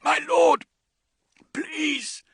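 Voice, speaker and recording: A man's voice: medium pitch, sing-song, a little slow, bright, forceful tone, dry recording, clean studio sound, pitched above the performer's own voice, exaggerated voice. A man voicing an aged, devoted male servant who speaks with deference in an audiobook. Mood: pleading. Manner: pleading, deferential.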